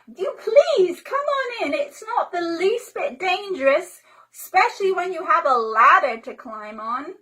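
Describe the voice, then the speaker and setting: An eager, excitable little boy, gushing with enthusiasm, as a woman storyteller puts him on. The voice is high and bouncing in an unhurried storybook reading. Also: full character voice.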